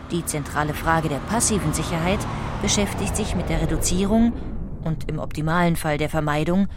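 A woman reading scripted documentary narration in a measured, factual way. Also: on the low side, a little mellow, dry recording. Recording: music behind the voice. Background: music.

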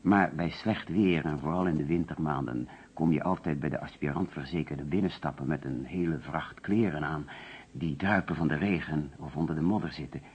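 An actor playing an uneasy, defensive insurance agent who explains himself hesitantly under police questioning in a radio play. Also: male voice, extremely low pitch, moderate pace, darkish tone, husky. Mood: uneasy, explaining. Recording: dull old radio recording.

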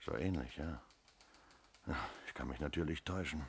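A methodical police inspector in a radio crime drama. His voice sits very low and has a rough, husky grain. He speaks with deliberate authority and a sceptical edge. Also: heavily acted. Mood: sceptical, brooding. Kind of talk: 1970s German radio play acting.

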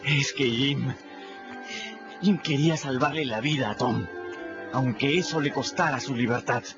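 A man's voice: mid-range voice, unhurried, lightly acted. An actor playing a plain-spoken, restless runaway teenage boy who narrates his own story in a casual, folksy way, in a radio drama. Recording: muffled radio play with music.

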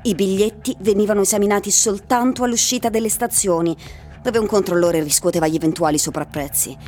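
Woman narrating a radio drama over soft music. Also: medium pitch, a little fast.

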